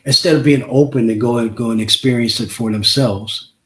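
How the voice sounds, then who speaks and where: A man's voice: medium pitch, steady tone, brisk, a bit bright. A man, a musician guest on an interview podcast, talking emphatically, repeating himself and checking with "right?". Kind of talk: casual interview chat.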